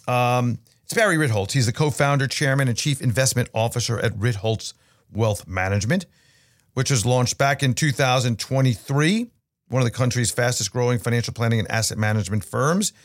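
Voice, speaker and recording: A man hosting a long-running interview podcast, talking in a friendly, rambling way with many restarts. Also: medium pitch. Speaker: podcast host.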